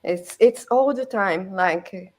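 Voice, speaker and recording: A woman's voice: mid-range voice, slow pace. Brazilian woman chatting playfully. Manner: hesitant phrasing, playful. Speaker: podcast guest. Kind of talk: casual chat.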